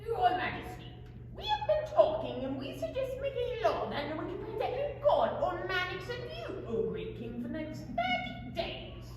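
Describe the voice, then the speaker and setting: Woman as very high, scheming royal advisor. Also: huge pitch swings, moderate pace, a little mellow, far from the mic, heavily acted. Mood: flattering, insistent. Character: scheming, flattering royal advisor in Bible puppet play. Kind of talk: scripted church puppet show acting. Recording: very echoey hall with background noise.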